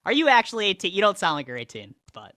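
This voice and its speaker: A man's voice: high voice, huge pitch swings, fast, bright, forceful tone, no room sound, studio quality. A man hosting a livestream, debating a caller with pressing, pointed questions. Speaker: livestream host.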